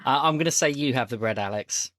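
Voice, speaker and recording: A man's voice: mid-range voice, fast, a bit bright, no room sound. Easygoing male game master, close dry sound. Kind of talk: casual tabletop game hosting.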